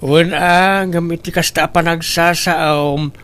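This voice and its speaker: A man with a fairly high voice and an Ilocano accent, scolding and reproachful. He delivers lines of an audio comedy drama in plain, firm tones. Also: fairly even intonation, slow, a bit bright, smooth. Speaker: drama voice actor, husband. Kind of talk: Ilocano radio-style comedy drama acting.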